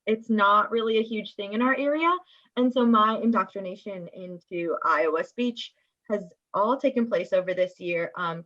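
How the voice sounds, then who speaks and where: A woman's voice: medium pitch, fairly bright tone. Young Midwestern woman on dull, lo-fi audio. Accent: American Midwestern. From suburbs of Chicago, United States. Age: young adult. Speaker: guest, theater education student. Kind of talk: casual interview chat.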